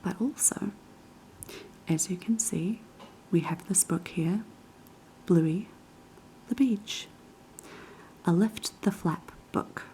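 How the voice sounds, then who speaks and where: A young woman with a New Zealand accent whispering unhurried ASMR chat in a kitchen, her voice raspy and hoarse after being sick. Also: lowish voice, a little hiss or hum, rough recording. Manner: soft, unhurried whispering with many ums. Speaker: ASMR creator. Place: kitchen of a quiet flat.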